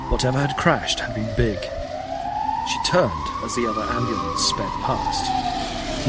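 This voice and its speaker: A man narrates a sci-fi audio drama in a fairly deep voice. The reading is scripted and dramatic, with loud music running underneath. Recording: prominent music bed under the voice.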